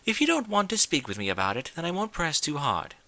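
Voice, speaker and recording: Male narrator as refined female pony, high and lilting, theatrical, chiding, persistent, audiobook character. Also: huge pitch swings, quick pace, gravelly, sharp tone, no room sound, full character voice. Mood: earnest, insistent. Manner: genteel, theatrical, chiding diction.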